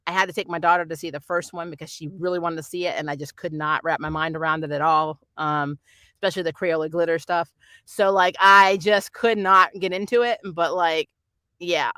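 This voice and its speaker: A woman's voice: on the low side, steady tone, fairly quick, pushed and bright. Middle aged lady doing a casual nerdy review stream, rambly and opinionated, really dry sound no echo. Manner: rambling, digressive, opinionated, often laughing. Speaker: host of a nerd culture review channel. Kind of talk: casual livestream chat, answering viewer comments and reviewing TV.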